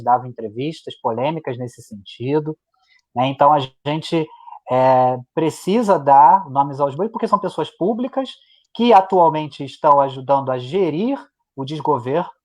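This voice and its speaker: A man's voice: a little high, darkish tone. A man, co-hosting a live online discussion and driving his points home by repeating them. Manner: emphatic, repeating points for weight. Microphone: video call.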